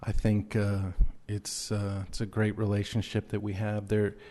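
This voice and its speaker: Low, flat-voiced man in an echoing chamber. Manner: halting, many fillers, turns stern and reproachful. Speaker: city council member. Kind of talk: formal public statement at a meeting. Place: council chamber.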